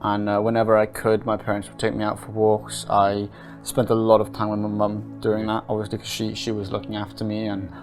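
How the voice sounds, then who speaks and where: A man in his twenties, interviewed about his life, speaks in a calm, unhurried way with frequent hesitations. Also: lowish voice, faint music behind. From Wales and Norway. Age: 20s. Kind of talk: casual personal testimony.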